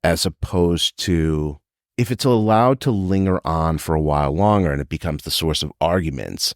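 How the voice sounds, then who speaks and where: A man's voice: rumbling low, moderate pace, no room sound, clean studio sound. A man in his fifties hosting a casual interview, joking and self-mocking, laughing often. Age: 50s. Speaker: podcast host.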